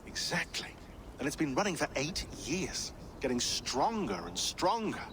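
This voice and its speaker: A wry, talkative man explains things as a modern team expert in a game cutscene. His delivery is animated and conversational, with clear noise behind. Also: a little high, measured pace. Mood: intent, explaining. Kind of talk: naturalistic game cutscene acting.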